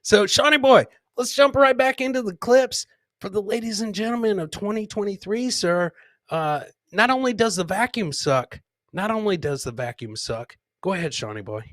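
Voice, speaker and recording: A man with a fairly high, forceful voice hosts a comedy show. He rambles through rowdy banter, often bursting into shouts, in a dry, close-sounding recording. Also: expressive, normal speed, no room sound. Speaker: host of a live comedy podcast. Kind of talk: boisterous casual live banter with listeners.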